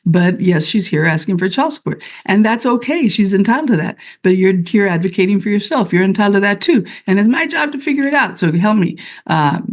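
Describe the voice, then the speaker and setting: A woman presiding as a family court judge, firing off direct, probing questions. Her pitch sits at an ordinary middle height for a woman. She talks in a plain, businesslike way. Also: animated, a little fast, few pauses.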